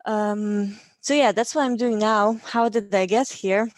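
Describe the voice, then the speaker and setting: A woman's voice: mid-range voice, steady tone, a little slow, no echo. Chatty Hungarian woman in her thirties. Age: 30s. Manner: chatty with frequent ums and laughs. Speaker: interview guest. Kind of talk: casual interview.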